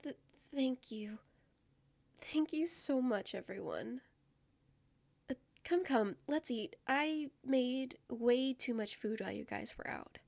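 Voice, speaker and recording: A woman playing a shy, anxious, gentle young woman in a fantasy audio drama, speaking softly and hesitantly with a stammer. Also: high voice, expressive, slow pace, vocal fry, no echo, exaggerated voice. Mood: touched, bashful. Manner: hesitant, stammering, soft-spoken.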